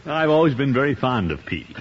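A blustery, pompous uncle. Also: male voice, medium pitch, very animated, fast, husky. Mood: indignant. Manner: blustery, pompous, self-justifying. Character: pompous middle-aged bachelor uncle. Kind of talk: 1950s radio sitcom acting. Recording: muffled old radio broadcast.

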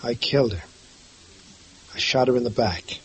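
An actor playing a confused, broken young prisoner awaiting execution in a 1950s radio drama, speaking vacantly. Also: male voice, mid-range voice, normal speed, breathy edge, rough recording. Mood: dazed. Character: dazed young condemned man.

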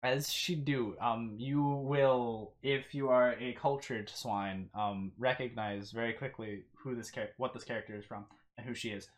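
A man's voice: mid-range voice, steady tone, measured pace, hardly any pauses. A man acting as game master, narrating a tabletop game in a casual, conversational style.

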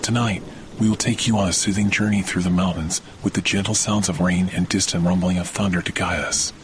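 Deep-voiced man guiding sleep meditation over rain. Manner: slow, soothing, measured, with long pauses. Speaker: meditation podcast host. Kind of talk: guided sleep meditation, scripted narration. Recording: rain and thunder noise throughout. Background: steady rain and rumbling thunder.

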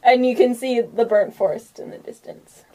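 Higher-voiced woman running a tabletop game. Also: moderate pace, dark tone, low-quality recording. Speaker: game master. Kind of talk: casual tabletop game narration.

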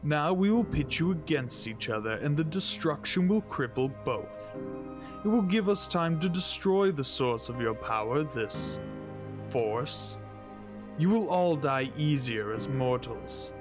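A man's voice: fairly high pitch, lively intonation, measured pace, over music. A man playing a cold, fanatical alien mystic captor who speaks in stilted, formal pronouncements in an audio drama. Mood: cold menace.

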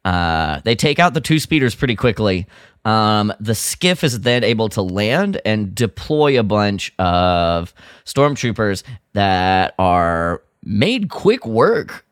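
Low, clear-voiced man in casual podcast chat. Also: very animated, slow, no echo, clean studio sound. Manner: exasperated, jokey, mimics characters' lines. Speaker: podcast co-host. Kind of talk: casual chat, recapping and critiquing a radio drama.

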